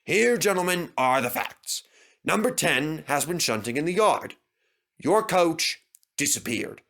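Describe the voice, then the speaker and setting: A man's voice: a little high, sing-song, unhurried, gravelly, fairly bright tone, pitched above the performer's own voice, heavily acted. A man voicing a stern, dignified railway controller who speaks in a pompous, formal, clipped way. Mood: formal apology.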